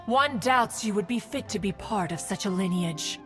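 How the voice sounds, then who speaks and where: An actress playing a haughty, sharp-tongued ancient female spirit in a fantasy game, speaking in an imperious, archaic way. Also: mid-range voice, expressive, moderate pace, lightly acted. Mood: indignant, disdainful. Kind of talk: scripted fantasy game dialogue. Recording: music clearly under the voice.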